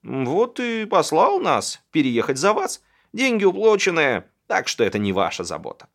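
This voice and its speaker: A man's voice: fairly high pitch, wildly swooping pitch, normal speed, voice pushed up. Brash crew foreman, performed by a male narrator: coarse, bossy, mocking, with wildly swinging intonation. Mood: brazen, mocking. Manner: barking orders, coarse, mocking.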